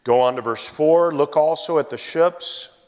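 A man preaching a sermon, telling a personal story in an animated way and bursting into loud exclamations. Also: a little high, unhurried, smooth. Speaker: pastor. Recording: hall reverb.

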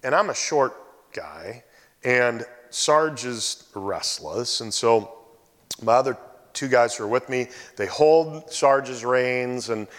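A man preaching a sermon, telling a personal story in an animated way and bursting into loud exclamations. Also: medium pitch, slow. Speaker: pastor. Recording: hall reverb.

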